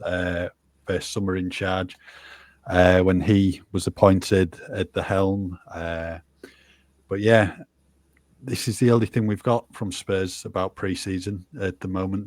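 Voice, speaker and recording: A man's voice: deep voice, no echo. A man with an informative manner, hosting a casual football podcast. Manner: steady, informative, leads the talk. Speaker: podcast host.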